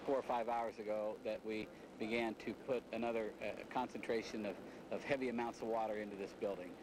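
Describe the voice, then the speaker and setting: An older male fire marshal speaking haltingly in an outdoor news interview, repeating words as he explains. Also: on the low side, steady tone, background noise.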